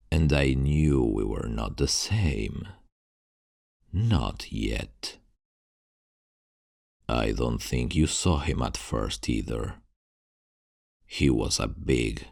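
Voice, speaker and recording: Casting: male actor as gentle, brooding vampire nobleman for ASMR roleplay; extremely low, slow delivery, formal and soothing. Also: gravelly, dry recording, studio quality, full character voice. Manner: formal, old-fashioned, soothing, deliberate. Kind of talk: ASMR roleplay, scripted monologue to the listener.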